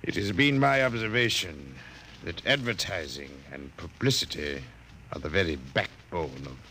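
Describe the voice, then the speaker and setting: An actor playing a formal, precise male Martian visitor in a 1950s radio drama, speaking in stiff, measured phrases. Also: low-pitched, animated, bright, forceful tone, slight background noise, exaggerated voice. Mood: formal, composed.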